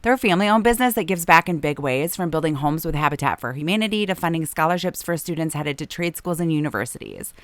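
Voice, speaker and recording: A woman, hosting a podcast. She is hoarse from a long illness, and her deep, raspy voice has a lot of vocal fry. She chats easily and laughs often. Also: lively intonation, quick pace, hardly any pauses, no room sound. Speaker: podcast host. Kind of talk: casual chat and read ads.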